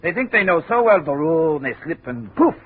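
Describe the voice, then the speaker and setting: An actor playing a foreign steelworker who speaks dismissive, broken English in a 1950s radio drama. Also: male voice, fairly high pitch, sing-song, fairly quick. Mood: scornful. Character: older foreign steelworker, scornful of fools. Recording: tinny old radio broadcast with hiss.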